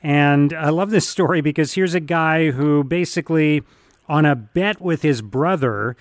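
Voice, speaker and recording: A man's voice: a little high, a little slow, dry recording, clean studio sound. A man hosting a radio show, chatty and upbeat, talking in long run-on sentences.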